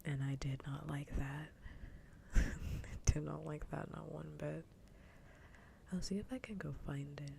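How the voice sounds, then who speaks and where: Soft whispery woman rambling on an asmr stream, dark low voice, close mic, bit of rumble behind. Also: vocal fry. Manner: rambling, conversational. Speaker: ASMR livestreamer. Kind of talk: whispered ASMR casual chat. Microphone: close ASMR microphone. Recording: low rumbling background noise.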